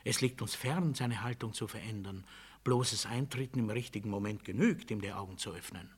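A man's voice: lowish voice, normal speed, slightly rough. A man playing a cold, menacing official of a brutal regime in a radio play, speaking in formal, emphatic, declamatory sentences. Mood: quietly menacing. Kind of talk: German radio play monologue, formal instructional oratory.

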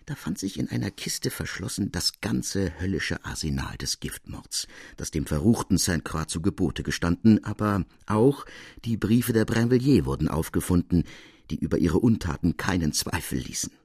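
A man reading a classic literary tale as narrator, in measured, formal storytelling prose. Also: low-pitched, animated, creaky, a little mellow, husky, dry recording, studio quality.

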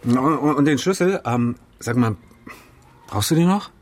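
A man's voice: medium pitch, animated, a little slow, natural voice. An actor playing a casual but probing man in a radio drama, asking politely with small hesitations. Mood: wary, polite. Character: casual, probing man, the girlfriend's new housemate. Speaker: actor in a crime radio play. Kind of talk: naturalistic radio drama acting.

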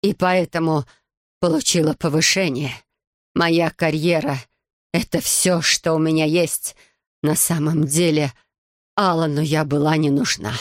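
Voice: mid-range voice, lively intonation, fairly quick, no echo, lightly acted. Narrator as a cornered woman agent in a thriller audiobook, defiant, close dry sound. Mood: weak, confessing.

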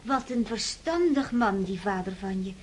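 An actress playing a timid, bewildered young princess in a radio drama. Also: female voice, medium pitch, animated, normal speed, slight background noise, close to an everyday voice. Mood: timid, anxious.

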